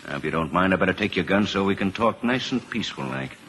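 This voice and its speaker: A man's voice: sits low, animated, fast, fairly bright tone. An actor playing a wry, folksy, fatherly government lawman in an old-time radio drama. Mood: calm, firm. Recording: old radio broadcast recording.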